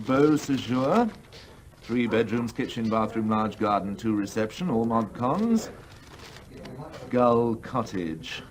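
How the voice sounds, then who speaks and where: An actor playing a fussy, evasive, businesslike English house agent in a radio play, with hesitant, brisk patter. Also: male voice, lowish voice.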